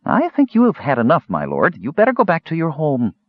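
Male narrator as young woman barmaid, soft, raised pitch, anxious and kind, fantasy storybook, dry recording. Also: huge pitch swings, brisk, no room sound, dull audio. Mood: wary, firm.

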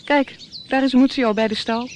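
A woman's voice: sits high, fairly even intonation, normal speed, darkish tone, slight background noise, natural voice. Actress as shrewd, probing woman. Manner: casual, probing. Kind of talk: radio drama dialogue.

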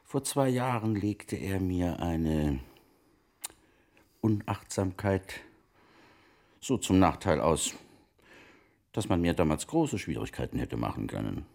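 An actor playing a wealthy, wily merchant of old Italy in a German radio play, speaking formally and deliberately in a gravelly, husky voice. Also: male voice, low-pitched, sing-song, a little mellow, heavily acted. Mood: guarded. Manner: formal archaic phrasing, deliberate storytelling.

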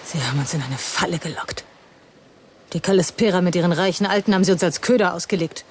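A serious woman revolutionary played by an actress in a radio drama, her voice on the low side. She is terse and questioning, with faint noise in the background. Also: fast, lightly acted. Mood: bitter, tense. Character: serious, idealistic female urban guerrilla, a captive. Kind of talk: radio play acting.

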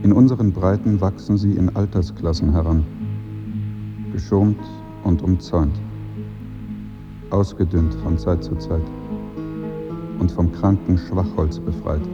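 An actor playing a somber narrator in a radio play, speaking brooding poetic prose in a grave, measured way. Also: male voice, low voice, fairly even intonation. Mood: somber, reflective. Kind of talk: literary radio play monologue. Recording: muffled radio drama, music underneath.

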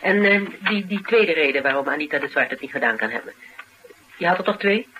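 An actress playing a shrewd, probing investigator, questioning in a dry, colloquial radio drama style. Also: female voice, low-pitched, expressive, fairly quick, bright, forceful tone. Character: shrewd, probing female investigator, cool and direct. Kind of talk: naturalistic radio drama acting. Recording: noisy radio drama recording.

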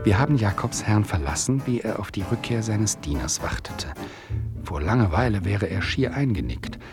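An actor as a wry storyteller narrating a radio play in a theatrical, rhetorical manner. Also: male voice, fairly low pitch, lightly acted. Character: wry, omniscient male storyteller addressing his listener.

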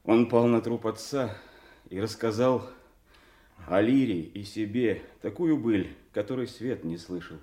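An actor playing a noble, sorrowful young avenging brother in a Shakespearean audio drama, with grave, measured declamation. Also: male voice, fairly low pitch, warm tone, reverberant, natural voice. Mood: grieving, intense. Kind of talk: classical Shakespearean stage acting for audio drama.

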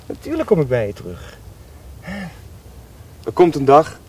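A man's voice: fairly high pitch, huge pitch swings, unhurried, far from the mic, noisy background. An actor playing an idealistic seventeen-year-old rebel boy in love, speaking earnestly and fervently in radio drama style. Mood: tender, hopeful.

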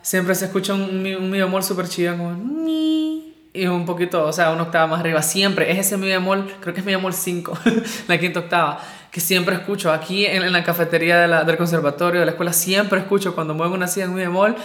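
A man's voice: high voice, steady tone, fairly quick, a bit bright. Honduran man talking in an echoey room. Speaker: interviewee, countertenor singer. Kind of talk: casual, reflective chat.

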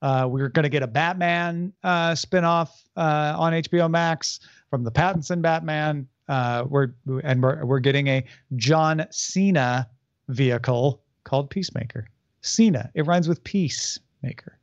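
Man, slightly high voice, entertainment news host, dull dry recording. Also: unhurried, no echo. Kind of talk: casual chat, reading news notes.

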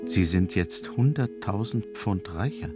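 A man playing a knowing, probing narrator in a radio drama, deliberate and pointed. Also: on the low side, animated. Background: loud plucked-string music.

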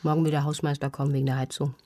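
A woman playing a quiet wife at home in a naturalistic radio play, understated and matter-of-fact. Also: sits low, level tone, rapid-fire, a little hiss or hum.

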